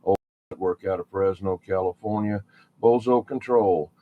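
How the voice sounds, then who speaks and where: A man's voice: low voice, normal speed. A man chatting casually as a CB radio operator, relaying calls in folksy radio lingo. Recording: tinny phone-like sound, clear background noise.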